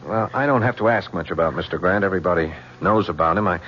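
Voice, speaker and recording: A man acts a homicide lieutenant with a low voice and a courteous, halting but persistent way of asking questions. It is old-time radio drama, muffled and with a faint hum. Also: natural voice. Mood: probing, courteous. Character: polite, persistent male homicide police lieutenant.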